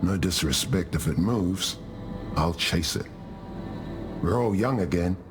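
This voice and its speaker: Game chieftain: low, hearty, carefree old-world warrior, lusty and philosophical, loud music underneath. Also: male voice, lively intonation, unhurried. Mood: playful. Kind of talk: video game character dialogue.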